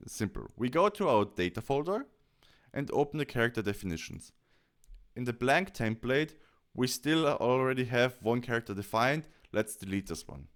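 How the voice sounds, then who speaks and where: A man with a smooth, clean voice at a middle pitch narrates a software tutorial. He explains each step plainly, in short instructional phrases, close to the microphone. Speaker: tutorial presenter.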